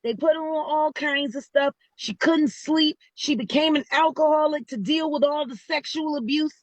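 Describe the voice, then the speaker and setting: A woman with a high voice speaks forcefully as an interview guest. She is blunt and swears often. The recording is dry and close. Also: moderate pace, no echo, dull audio. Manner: forceful, profane. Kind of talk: blunt, emphatic storytelling.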